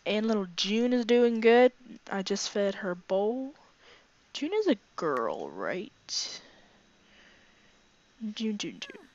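Brief: young female game host, hoarse and raspy from a cold, rambling filler-laden commentary, slightly muffled home mic. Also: mid-range voice, sing-song, takes it slowly, long pauses, a little mellow. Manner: rambling, filler-heavy, chatty. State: hoarse, voice fading from illness. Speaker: gaming channel host. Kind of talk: casual let's play commentary. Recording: slightly dull lo-fi home recording.

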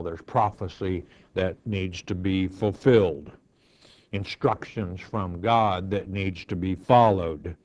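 An older male pastor teaching through scripture at a slow, unhurried pace. He reads each verse aloud and then explains it plainly in a low voice with frequent fillers. The recording sounds dull and lo-fi, like an old broadcast. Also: darkish tone.

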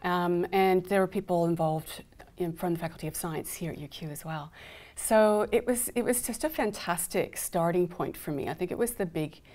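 A woman's voice: lowish voice, moderate pace, darkish tone. A middle-aged woman with a Canadian accent, an academic chatting enthusiastically on a panel. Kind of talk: conversational panel discussion. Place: indoor studio panel set.

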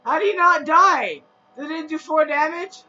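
High-voiced young man yelling at a game. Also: normal speed, soft background music. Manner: loud, boastful, crude joking. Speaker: player. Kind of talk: casual gaming banter. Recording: dull lo-fi mic with faint hiss.

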